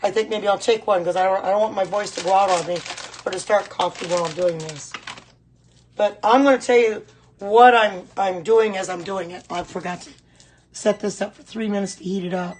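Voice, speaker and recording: A middle-aged woman with a hoarse voice, chatting casually and repeating herself as she hosts a live cooking demonstration. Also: mid-range voice, fairly quick, a little mellow, a little hiss or hum. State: hoarse voice, clearing throat at times. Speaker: host of a live home cooking stream. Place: home kitchen.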